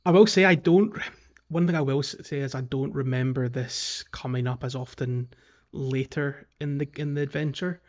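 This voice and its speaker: A man's voice: mid-range voice, fairly even intonation, moderate pace, lo-fi sound. A man co-hosting a casual video game podcast, talking in a chatty, rambling way and often correcting himself. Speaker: podcast co-host.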